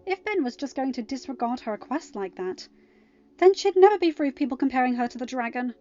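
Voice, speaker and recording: A woman's voice: high-pitched, expressive, fast, warm tone, no room sound. A woman narrating a story from a script, as in an audiobook. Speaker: podfic narrator. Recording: dull lo-fi home recording, music underneath. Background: music.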